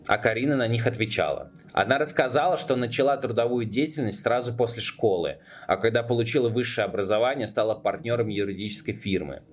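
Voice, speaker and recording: A man narrating a scripted documentary podcast as its narrator. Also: medium pitch. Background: background music.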